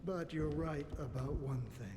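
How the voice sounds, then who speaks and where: An actor playing a brooding, philosophical man in dramatic game dialogue, grave and deliberate, with weighty pauses. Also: on the high side, fairly even intonation, noisy background, natural voice.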